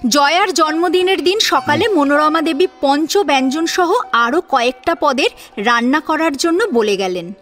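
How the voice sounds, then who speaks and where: A woman narrating a scripted audio drama serial in an expressive storytelling manner. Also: high-pitched, moderate pace. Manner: expressive storytelling with rhetorical questions. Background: soft sitar and plucked string music.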